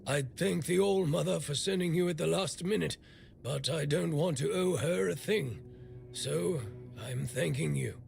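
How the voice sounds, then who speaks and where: An actor playing a gruff, irreverent old smith in a video game, with a gravelly voice and a blunt, sardonic way of talking. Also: male voice, on the high side, a little slow, darkish tone, faint music behind. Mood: grudgingly thankful. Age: older. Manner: blunt, foul-mouthed, sardonic. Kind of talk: video game character dialogue.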